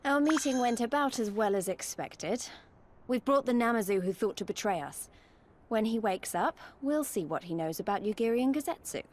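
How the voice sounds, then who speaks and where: Woman as a brisk, higher-voiced adventurer. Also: lively intonation, darkish tone, a little hiss or hum, natural voice. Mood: businesslike. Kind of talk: video game cutscene acting.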